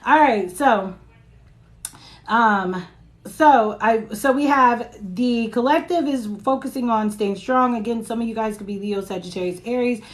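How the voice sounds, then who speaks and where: A woman with a raspy voice giving a casual live-stream tarot reading into her phone, chatting and reading comments between cards. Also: on the high side, measured pace. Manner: chatty, reads viewer comments aloud, laughs often. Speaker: tarot reader.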